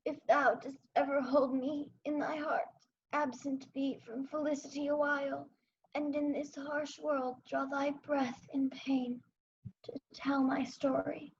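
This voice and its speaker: Resolute, vengeful young prince played by a young woman, high pitch, Shakespearean radio drama, dull sound with faint noise. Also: level tone, measured pace. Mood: dying, pleading. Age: young adult. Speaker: student actor. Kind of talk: Shakespearean radio play acting. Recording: muffled, noisy home recording.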